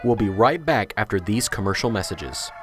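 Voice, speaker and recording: A man's voice: fairly low pitch, lively intonation, normal speed, a bit bright, faint music behind. A man acting as the narrator and announcer of a radio drama, reading scripted narration. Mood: measured, descriptive.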